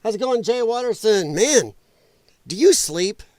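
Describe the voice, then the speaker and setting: A man hosting a casual late-night livestream at home, rambling and self-deprecating as he chats with viewers, his voice a little rough. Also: high-pitched, lively intonation, measured pace, a little mellow, no room sound, studio quality. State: rough voice, occasional coughing. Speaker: livestream host. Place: home room at night, animals nearby.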